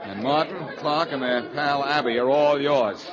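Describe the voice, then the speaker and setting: An actor playing a cocky, quick-witted amateur detective in a 1940s radio show, glib and teasing. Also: male voice, medium pitch, crowd noise, natural voice. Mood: confident. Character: wisecracking, confident male amateur detective hero, quick and cocky. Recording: dull old radio recording, audience noise.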